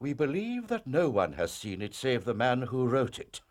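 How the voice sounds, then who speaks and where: An actor playing a stern, imperious Prime Minister with an upper-class English accent, weighty and formal, in a period drama. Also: male voice, medium pitch, huge pitch swings, normal speed. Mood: grave, guarded. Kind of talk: British period detective drama acting.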